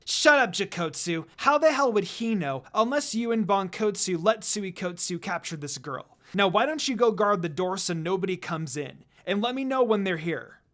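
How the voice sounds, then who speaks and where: A man's voice: high-pitched, lively intonation, normal speed, hardly any pauses, a bit bright. A man plays a jealous demon woman from a fantasy story. She is cruel and sneering, with a growl in the way she talks down to others. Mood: furious.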